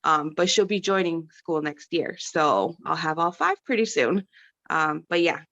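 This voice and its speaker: A woman's voice: sits low, expressive, measured pace, a bit bright. Chatty woman on a lo-fi video call. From California. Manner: chatty, rambling, self-correcting. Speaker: parent panelist. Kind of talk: casual video-call talk.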